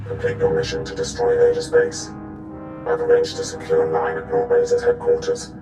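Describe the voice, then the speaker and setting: A man's voice: extremely high pitch, wildly swooping pitch, moderate pace, gravelly, roomy sound, music in the background, heavily acted. A man voicing a cold, guarded intelligence analyst in a video game, speaking in clipped, terse phrases. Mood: grave, urgent.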